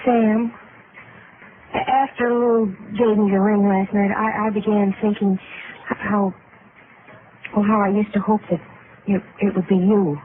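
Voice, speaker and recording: A tinny vintage radio drama with faint noise. An actress plays a girlish young woman with a medium-pitched voice, gushing and sentimental, practical about money. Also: level tone, a little slow, long pauses, close to an everyday voice. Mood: wistful. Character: gushing, money-minded young office girl, newly engaged. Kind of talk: 1950s radio drama acting.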